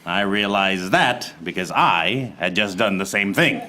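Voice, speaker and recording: An actor playing a hapless, exasperated private eye, narrating deadpan in a parody noir radio play. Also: male voice, on the low side, measured pace, heavy background noise. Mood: urgent, exasperated. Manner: deadpan hard-boiled narration.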